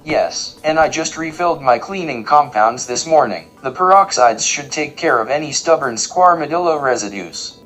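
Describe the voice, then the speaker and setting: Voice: medium pitch, lively intonation, moderate pace, few pauses, fairly bright tone, soft background music, rough recording. An actor playing a polite, easily offended robot cook who speaks in formal, technical terms in an audio drama. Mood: precise, matter-of-fact. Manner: formal, precise, technical wording. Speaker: diner cook and cleaning unit.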